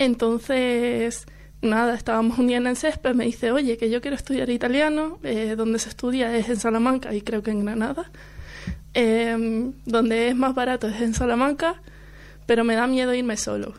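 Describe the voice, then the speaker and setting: Young woman radio guest from Chile, relaxed chat, vocal fry. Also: fairly high pitch, moderate pace. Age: young adult. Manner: chatty, laughs often, fillers. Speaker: guest student. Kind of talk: casual radio interview. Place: radio studio. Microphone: studio headphones and mic.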